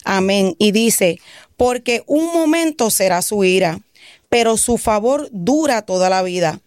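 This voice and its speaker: A woman's voice: mid-range voice, lively intonation, unhurried, a little mellow, dry recording. Woman, Puerto Rican Spanish accent, earnest testimony, says amen often, dry recording. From Puerto Rico. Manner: earnest, answers with frequent "amén". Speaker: guest giving a testimony.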